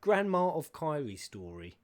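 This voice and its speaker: Lively man reacting to a game. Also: a little high, a little slow. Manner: excitable, slangy, sweary. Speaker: streamer reacting to game cutscenes. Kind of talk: casual reaction commentary.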